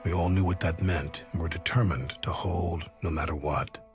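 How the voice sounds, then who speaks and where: Man voicing a grave soldier narrator, very low voice, solemn, music behind. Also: fairly even intonation, normal speed, close to an everyday voice. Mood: somber. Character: grave male soldier looking back on war. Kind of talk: game cutscene narration.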